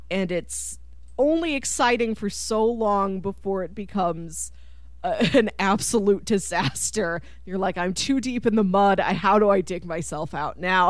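A chatty woman with a mid-pitched voice, recorded dry and close. She talks casually as a podcast co-host, animated and quick to act out imagined reactions, often with laughter in her words. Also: no room sound. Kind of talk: casual film discussion.

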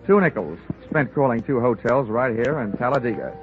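An American man playing a wry, hard-boiled insurance investigator in a 1950s radio drama, with a clipped, deadpan, wisecracking delivery. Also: medium pitch, a little fast, phone-quality sound, natural voice. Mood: wry, narrating. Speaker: lead actor and narrator. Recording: band-limited vintage radio recording, music behind.

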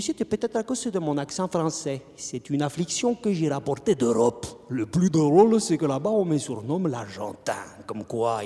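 A man's voice: on the high side, a little mellow. A man playing a punning eccentric concierge poet. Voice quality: drawling, playful, many whispered asides. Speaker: interrogated suspect. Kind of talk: theatrical monologue acting. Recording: long reverb with faint music.

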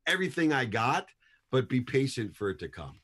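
A man's voice: mid-range voice, sing-song, a little fast, fairly bright tone. A man in his fifties, a guest speaker, telling emphatic motivational stories and repeating key phrases for punch. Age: 50s. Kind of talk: energetic motivational storytelling.

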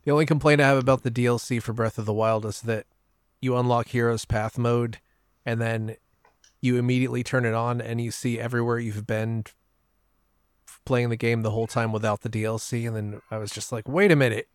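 A man in casual podcast conversation, recorded close and dry with no room sound. His pitch sits in the middle, and he tells stories by acting out his own reactions. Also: flat delivery, brisk, clean tone, no echo. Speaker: podcast guest. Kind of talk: casual chat.

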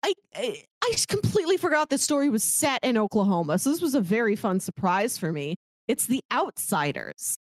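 A woman's voice: a little high, very animated, a little fast. A woman co-hosting a podcast, chatting casually about movies. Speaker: podcast co-host. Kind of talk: casual movie discussion with some read-aloud synopsis.

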